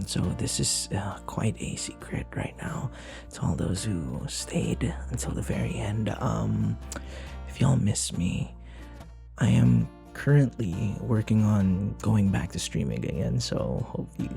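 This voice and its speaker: A man who hosts an audio channel, chatting casually and affectionately to his listeners in a halting way. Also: fairly low pitch, lively intonation, gravelly, mellow, soft background music. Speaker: voice actor and channel host. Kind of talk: casual outro chat to listeners.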